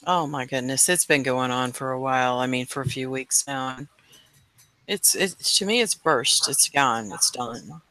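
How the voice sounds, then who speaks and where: A middle-aged woman from Oklahoma co-hosting a casual chat, talkative and quick to laugh. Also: rumbling low, bright, forceful tone, no room sound. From Oklahoma, USA. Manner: chatty, laughs often, drawn-out storytelling. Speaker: co-host of a paranormal chat show.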